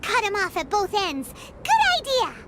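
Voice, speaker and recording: A woman voicing a tiny, chatty, childlike fairy companion in a video game, excitable, impatient and exclamatory. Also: very high-pitched, unhurried, very noisy, heavily acted. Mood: eager. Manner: exclamatory, refers to self in third person. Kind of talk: animated video game dialogue acting. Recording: loud music behind. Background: game music.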